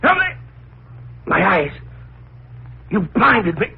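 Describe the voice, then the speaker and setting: Intense, high-pitched resentful farmhand, old radio thriller. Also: male voice, huge pitch swings, slow pace, gravelly, slightly rough, full character voice. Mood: panicked, pleading. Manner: melodramatic, intense. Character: resentful farmhand, menacing then panicked and pleading. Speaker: actor in a radio play. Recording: muffled, noisy vintage radio recording.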